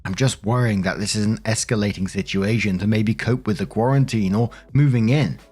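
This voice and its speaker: Male narrator, lowish voice, chatty story-reading host with casual asides, music underneath. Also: fairly quick, a little mellow, husky. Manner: chatty, conversational. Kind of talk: reading online stories aloud with casual commentary.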